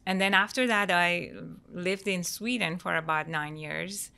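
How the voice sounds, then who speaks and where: A middle-aged woman from Iran, a guest interior designer, chatting in a measured way with soft pauses. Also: fairly low pitch, fairly even intonation, gravelly, clean tone. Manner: measured, pausing with soft fillers. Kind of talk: casual interview chat.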